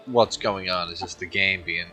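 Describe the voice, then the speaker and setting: A man's voice: sits low, steady tone, normal speed, music in the background. A man streaming a space game, chatting casually in a laid-back, agreeable way. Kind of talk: casual gameplay stream chat.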